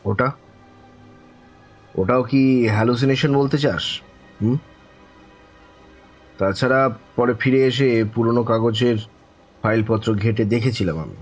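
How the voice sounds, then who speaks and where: An actor playing a weary, earnest elder-brother figure in an audio horror drama, speaking in a measured, philosophical way. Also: male voice, medium pitch, lightly acted. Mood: insistent, challenging. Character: grave, weary older-brother storyteller of eerie experiences. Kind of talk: audio horror story acting.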